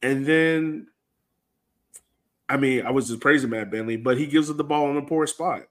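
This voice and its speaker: A man with a mid-pitched voice hosts a soccer fan show. He talks casually and conversationally, leaning on filler words as he breaks down play like a supporter. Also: normal speed, fairly bright tone. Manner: conversational, heavy use of "like" and "you know". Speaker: soccer fan podcast host. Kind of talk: casual sports talk, breaking down a match.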